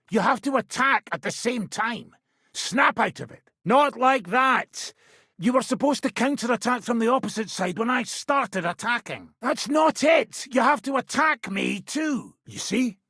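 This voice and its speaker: An actor playing a foul-mouthed, boozy medieval fighter in a video game, with a British accent and a rough, gravelly voice. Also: male voice, high voice, fairly bright tone, no room sound, studio quality, full character voice. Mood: brusque instructing. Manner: coarse, brash, swearing banter.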